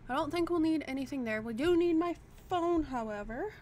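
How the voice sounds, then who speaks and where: A fairly high-voiced woman rambles cheerfully at her desk mic, chatty and self-deprecating as she plays. She mutters to herself while sorting through her things. Faint hiss sits under the voice. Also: expressive, brisk, darkish tone. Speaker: YouTuber playing a block-building game. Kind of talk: casual gameplay chatter to the camera. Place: home desk. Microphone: desk microphone at a computer.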